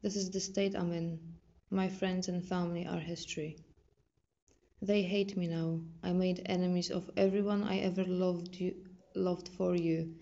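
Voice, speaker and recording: A woman's voice: on the low side, little pitch movement, normal speed, gravelly, mellow. A Polish-accented woman performing a bitter, sarcastic monologue as a betrayed and abandoned wife, in a dull lo-fi recording. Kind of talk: dramatic monologue recital.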